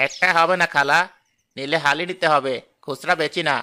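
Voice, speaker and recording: A man's voice: a little high, steady tone, moderate pace, pushed and bright, slight background noise. An actor voicing a rude, greedy male fruit seller in a cartoon, talking in brash hawker's patter. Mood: scornful. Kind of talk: cartoon voice acting.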